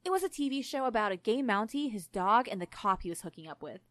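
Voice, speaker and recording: A woman's voice: mid-range voice, lively intonation, fast, fairly bright tone, no echo, natural voice. A woman narrator voicing a frank, argumentative and slightly condescending young woman in a story. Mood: teasing, insistent.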